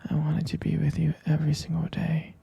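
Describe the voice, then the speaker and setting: A man playing a devoted, smitten boyfriend in an intimate ASMR roleplay, speaking in a breathy, husky whisper, hesitant and tenderly repeating his phrases. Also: a little high, monotone, fairly quick, mellow, exaggerated voice. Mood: tender, wistful.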